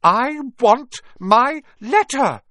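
A man's voice: extremely high pitch, expressive, extremely slow, no room sound, clean studio sound, pitched above the performer's own voice, full character voice. A man voicing an indignant, defiant young boy, angry and insistent, in a storybook reading. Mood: furious.

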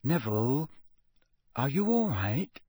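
A man voicing a tense, brisk but caring teenage schoolgirl in an audiobook. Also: mid-range voice, big pitch swings, husky, dry recording, clean studio sound, heavily acted. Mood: concerned. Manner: curt but gentle when concerned. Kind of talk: audiobook character voice.

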